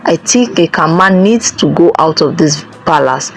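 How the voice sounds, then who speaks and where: A foreboding chief priest, as a woman storyteller puts him on, warning of danger in a low, solemn voice. A faint hiss runs under the storybook reading. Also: expressive, normal speed. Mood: ominous.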